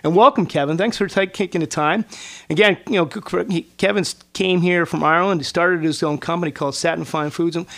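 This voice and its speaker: A man's voice: a little high, steady tone, fast talker, vocal fry, a bit bright. A man in his forties hosting a casual interview in a chatty, digressive way. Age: 40s. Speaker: interviewer, show host.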